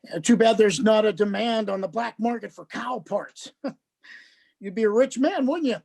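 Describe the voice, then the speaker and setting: A woman's voice: on the high side, measured pace, creaky, warm tone, breathy edge, heavily acted. A woman playing an awkwardly friendly, chatty male social worker in a dramatized script reading, hesitant and repeating words. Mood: chatty, eager.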